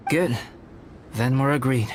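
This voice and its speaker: A man voicing a reserved, analytical young scholar in a video game, speaking in a measured, formal, composed way. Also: medium pitch, very noisy, natural voice. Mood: composed, cooperative. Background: music.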